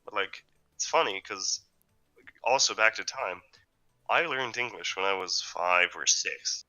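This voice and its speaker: A teenage boy from Slovenia with a Slovene accent and a deep voice, rambling through a casual, jokey podcast chat. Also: measured pace, sharp tone, rough recording. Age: teens. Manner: rambling, jokey, says "like" and "dude" a lot. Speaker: podcast co-host.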